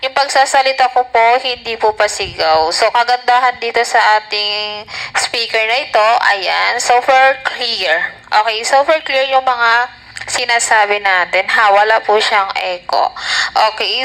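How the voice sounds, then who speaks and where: A woman with a Filipino accent giving a casual, chatty product demo and sales pitch, often addressing her viewers directly. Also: fairly bright tone, low-quality recording. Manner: chatty, repeats filler tags and addresses viewers. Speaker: product reviewer and live seller.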